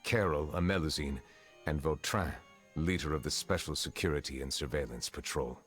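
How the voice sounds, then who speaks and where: A grave fantasy game magistrate with an extremely low voice. He is formal and reserved, choosing stately words with measured care. Faint music plays behind him. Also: fairly bright tone. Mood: solemn, reflective. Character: solemn, formal male chief justice, centuries old, reserved. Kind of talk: fantasy video game voice acting.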